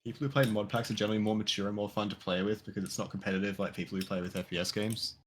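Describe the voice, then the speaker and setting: A man's voice: fairly low pitch, fairly even intonation, racing, slight background noise. A man chatting casually while streaming a modded game, rambling and swearing loosely. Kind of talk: casual gaming chat.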